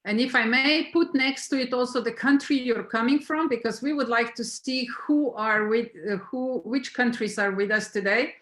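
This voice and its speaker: A woman's voice: a little high, moderate pace, few pauses, a bit bright. A woman hosting an online event, politely stepping in with brief prompts. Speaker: event host. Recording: echoey room.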